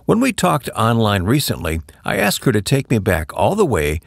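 A man from Michigan hosting a radio interview program, friendly and quick to laugh along with his guest. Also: on the low side, wildly swooping pitch, creaky, dry recording, studio quality. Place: recorded separately from guest over online call.

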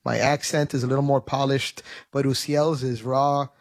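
Radio host-guest, male, average pitch, earnest and halting, lots of restarts. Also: little pitch movement. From United States. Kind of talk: conversational interview.

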